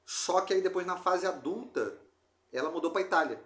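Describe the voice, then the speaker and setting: A man's voice: high voice, moderate pace, a bit bright. A man with a Rio de Janeiro Brazilian accent chatting casually as a podcast host, telling anecdotes in a slangy, rambling way. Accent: Brazilian Portuguese, Rio de Janeiro. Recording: roomy echo.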